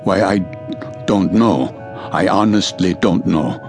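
An actor playing a dazed, hesitant ship passenger in a radio drama, speaking politely in halting phrases. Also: male voice, low-pitched, expressive, darkish tone, faint music behind, full character voice. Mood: confused, dazed. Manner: halting, uncertain, formal and polite. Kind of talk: audio drama acting.

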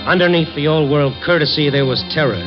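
An actor playing a streetwise, persistent reporter in an old radio noir drama, with a wry, clipped delivery. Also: male voice, a little high, brisk, close to an everyday voice. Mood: tense, brooding. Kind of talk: 1940s-50s radio noir acting and first-person narration. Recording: muffled old radio recording, music behind.

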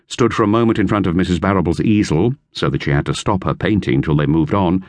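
Low, animated male narrator for a children's classic, dry studio sound. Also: no echo. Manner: lively storytelling. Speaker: audiobook narrator. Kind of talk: scripted narration. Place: recording studio.

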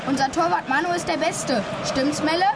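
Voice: high-pitched, normal speed, very noisy. An actor voicing a cocky, teasing young boy football fan with a cheeky, confident way of talking in a children's audio drama.

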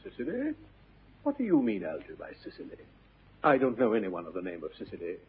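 Husky, indignant English gentleman. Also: on the low side, huge pitch swings, a little fast, full character voice. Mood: defensive, indignant. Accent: upper-class English. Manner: indignant, lofty, formal. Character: earnest, exasperated, pompous young English gentleman. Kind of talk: vintage theatrical radio comedy acting. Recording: dull, muffled old radio recording.

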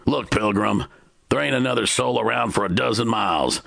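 A man voicing a gruff, suspicious gunman who barks threats in a Western story. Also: on the low side, moderate pace, a bit bright, no room sound, voice pushed up, full character voice. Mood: menacing. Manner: barking, threatening.

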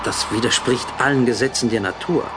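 A man's voice: medium pitch, a little fast, noisy background, natural voice. An actor playing a sober, matter-of-fact male chief engineer on an oil rig in a German audio drama. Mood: puzzled. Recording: loud music behind the voice.

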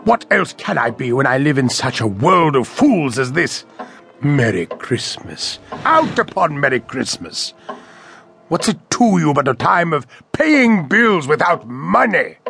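An actor playing a miserly, scornful old business owner in a radio play, curt and gravelly. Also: male voice, on the high side, big pitch swings, a little slow, a bit bright, heavily acted. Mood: irritated, bitter. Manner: curt, contemptuous, dismissive.

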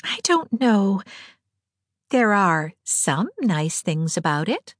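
A woman's voice: mid-range voice, big pitch swings, slow pace, no echo, clean studio sound, pitched above the performer's own voice. A woman voicing a sentimental, anxious teenage girl in a storybook, earnest and plaintive. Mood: uneasy.

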